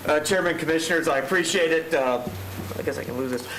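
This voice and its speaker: A man in his fifties with a big, loud voice, a veteran giving casual, grateful thank-you remarks at a county meeting. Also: on the high side, quick pace, a little hiss or hum. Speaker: veteran receiving a proclamation. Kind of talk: informal thank-you speech. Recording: roomy chamber with crowd around.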